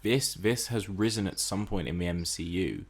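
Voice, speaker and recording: Male, deep voice. Thoughtful podcast co-host, casual but analytical. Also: lively intonation, normal speed, slightly rough. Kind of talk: casual film and TV discussion.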